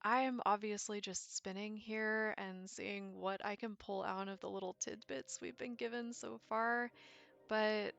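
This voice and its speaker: A woman's voice: medium pitch, fairly even intonation, a little slow, vocal fry, a bit bright, dry recording, highs cut off. A woman presenting informal video commentary as a gaming lore creator, speaking in a conversational, enthusiastic way. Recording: music behind the voice. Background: background music.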